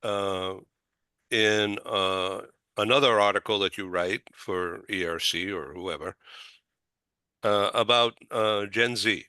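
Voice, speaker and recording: An older man hosting a casual panel chat, halting, putting questions to the guests. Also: lowish voice, bright, forceful tone, smooth, dry recording, clean studio sound. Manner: slow, halting, questions with rising lift. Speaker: talk show host.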